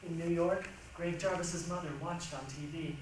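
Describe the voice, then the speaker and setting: A woman performing a dramatic stage reading with measured, theatrical storytelling. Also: lowish voice, fairly even intonation. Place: large echoey room, recorded from a distance. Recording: echoey hall, distant mic, faint noise.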